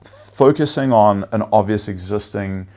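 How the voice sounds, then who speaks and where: A man whose first language is Afrikaans, giving measured interview answers as a venture investor, with frequent fillers and listed points. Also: fairly low pitch, mellow.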